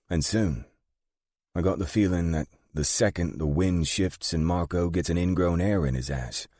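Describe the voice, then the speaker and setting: Guy doing a british crime boss granny, blunt n sweary, higher voice, close dry sound. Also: no room sound. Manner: blunt, sharp, no-nonsense. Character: tough, foul-mouthed British gang matriarch, a grandmother.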